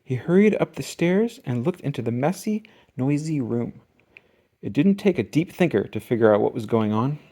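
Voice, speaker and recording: Very animated children's storybook reader. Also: medium pitch. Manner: expressive, emphatic reading with drawn-out key words. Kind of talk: scripted children's storybook narration.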